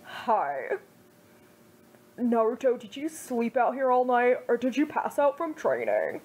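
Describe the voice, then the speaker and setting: A woman's voice: fairly high pitch, big pitch swings, unhurried, darkish tone, breathy edge, exaggerated voice. A woman voicing a caring teenage boy ninja in a fan story reading, sounding concerned and scolding.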